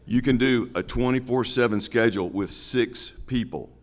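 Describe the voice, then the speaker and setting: A man's voice: medium pitch, moderate pace. A man speaking as a law officer and candidate at a public forum, making his case in an emphatic, plain-spoken way.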